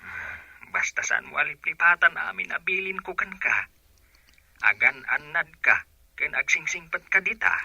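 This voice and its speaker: Actor playing a bright, raspy village father. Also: on the high side, sing-song, moderate pace, dry recording, muffled recording, exaggerated voice. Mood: fond, admonishing. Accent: Ilocano. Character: affectionate, admonishing father from a village. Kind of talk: Ilocano radio drama acting.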